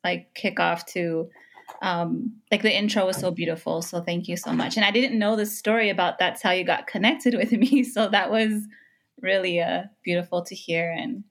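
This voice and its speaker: An American woman speaks as an interview guest, with noticeable vocal fry and a slightly low pitch. She shares personal stories casually, in a reflective and appreciative manner, pausing hesitantly as she goes. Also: fairly even intonation. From Los Angeles, United States. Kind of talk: personal storytelling in casual talk.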